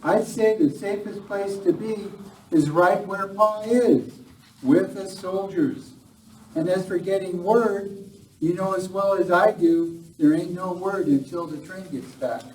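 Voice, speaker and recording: A man's voice: high-pitched, a little slow, darkish tone. A man playing a stubborn, folksy young frontier son in a live radio western. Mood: matter-of-fact. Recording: distant mic, echoey hall, faint noise.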